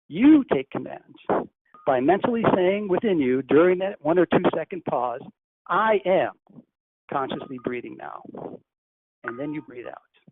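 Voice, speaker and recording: A man speaking as a channeled spirit teacher, guiding a breathing meditation in a slow, deliberate, instructive way. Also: fairly high pitch. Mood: guiding, hushed. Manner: slow, deliberate, instructive, softly hushed in exercises. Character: ascended master spirit, calm, commanding teacher. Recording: tinny phone line, faint hiss.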